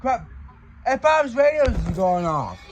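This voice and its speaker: A man hosting an unboxing video in casual, excitable chat. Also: very high-pitched, a little slow. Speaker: host of a radio unboxing video. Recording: noisy amateur recording with clicks.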